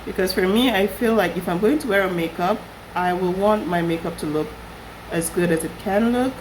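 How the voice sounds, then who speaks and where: A young woman recovering from a flu, her voice husky, chatting casually through a makeup tutorial. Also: medium pitch, moderate pace, a little hiss or hum, low-quality recording. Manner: chatty, rambling with fillers. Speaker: beauty vlogger.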